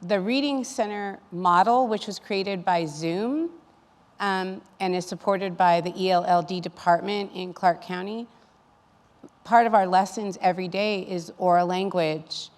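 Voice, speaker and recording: A woman's voice: mid-range voice, unhurried, darkish tone. A woman speaking as an educator in a panel discussion, explaining things in an earnest, advocating way. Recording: reverberant hall sound.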